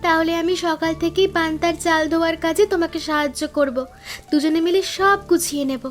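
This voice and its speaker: A woman voicing an earnest young village daughter, hesitant but helpful, in an animated cartoon story. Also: very high-pitched, a little fast, soft background music. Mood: eager.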